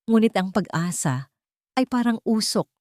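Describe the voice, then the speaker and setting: A woman's voice: mid-range voice, animated, measured pace, darkish tone, no room sound, studio quality. A woman with a Filipino accent reading a scripted dramatic story as narrator.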